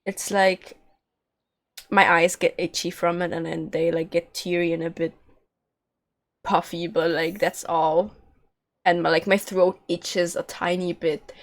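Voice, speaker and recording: A woman's voice: fairly low pitch, flat delivery, unhurried, pauses often. A woman streaming a game, chatting casually and informally in a rambling way. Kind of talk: casual livestream chat. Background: game music.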